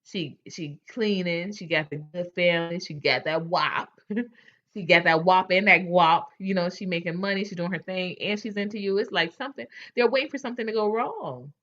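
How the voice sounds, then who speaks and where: A woman's voice: on the low side, moderate pace, a bit bright, highs cut off. A woman hosting a casual chat, candid and chatty, often restarting her sentences. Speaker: host.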